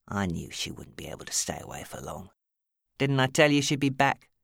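A woman's voice: rumbling low, sing-song, a little fast, creaky, no echo, clean studio sound, heavily acted. Woman acting a smug, menacing bully. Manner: sneering, contemptuous, hissing when angered. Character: smug, menacing, bullying male club boss. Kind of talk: audiobook character acting.

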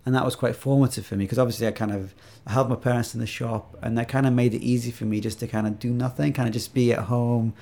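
A man's voice: mid-range voice, steady tone, fast, creaky, few pauses, dark tone. A man in his thirties, originally from Iraq, talking reflectively as a podcast guest with many fillers and restarts. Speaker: podcast guest, video game maker. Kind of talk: casual interview chat.

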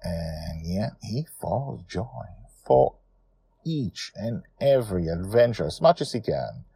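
A man's voice: fairly low pitch, a little slow, vocal fry, husky, dry recording. A man hosting a story podcast, talking informally and emphatically about his own serial. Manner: animated, emphatic, self-correcting.

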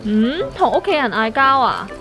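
A woman's voice: a little high, sing-song, moderate pace, a bit bright, faint music behind. A woman with a Hong Kong Cantonese accent playing a sensible, inquisitive friend in a comedic audio drama, questioning and wry. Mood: curious.

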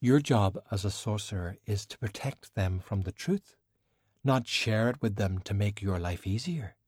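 Audiobook role: elegant, cool veteran sorceress, acted by a man. Formal, measured, admonishing, dry studio sound. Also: on the low side, a little mellow, husky, dry recording. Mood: grave, cool. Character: worldly, composed, cool woman sorcerer, long-lived and stern.

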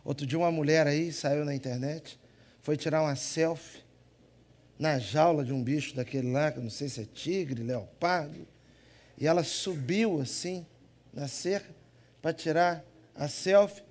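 A man from Minas Gerais preaching with a Mineiro accent and a voice pitched a touch high. He jokes with the congregation and swings from loud, emphatic lines to soft, quiet asides. Delivered as a live church sermon. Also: unhurried. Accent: Minas Gerais Brazilian Portuguese. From Minas Gerais, Brazil. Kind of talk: lively humorous sermon.

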